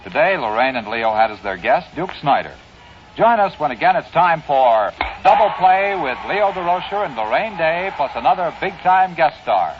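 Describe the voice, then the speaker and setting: A man's voice: a little high, very animated, moderate pace, over music. An American man as announcer, reading scripted lines in a 1950s radio style. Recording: dull vintage radio recording.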